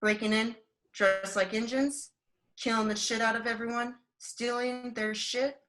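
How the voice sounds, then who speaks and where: Brief: woman to play a savage young American gunslinger, crude, taunting, folksy, with a sharp bright voice, for a Western radio play. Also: fairly high pitch, fairly even intonation, a little slow. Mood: matter-of-fact. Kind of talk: Western radio drama acting.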